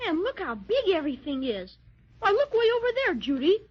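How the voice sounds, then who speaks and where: An eager, curious young boy, acted by a woman, high and bouncing all over with excited exclamations. It comes through a dull old 1930s radio transcription. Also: a little fast. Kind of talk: 1930s children's radio drama.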